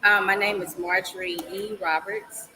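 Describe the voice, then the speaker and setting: A woman's voice: mid-range voice, little pitch movement, normal speed, sharp tone, a little hiss or hum, poor audio. A middle-aged woman from Mississippi with a Southern accent, explaining her consulting business as an interview guest with frequent ums. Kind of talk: casual interview talk.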